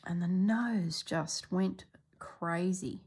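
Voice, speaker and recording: Slow, low-voiced woman stitching and chatting. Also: slightly rough. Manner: chatty, relaxed, drops into soft murmurs while working. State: congested, clearing throat. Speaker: stitching vlogger. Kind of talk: casual chat while stitching.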